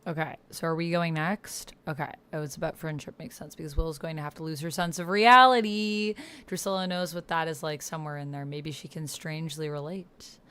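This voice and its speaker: A woman's voice: sits low, fairly even intonation, brisk, fairly bright tone, smooth. A woman talking casually through an online text roleplaying game, thinking aloud in fragments and reading out what she types.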